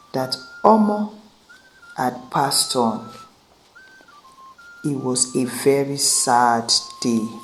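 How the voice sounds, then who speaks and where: A woman's voice: rumbling low, darkish tone, rough recording. A woman with a Nigerian accent narrating a scripted folktale as a storyteller.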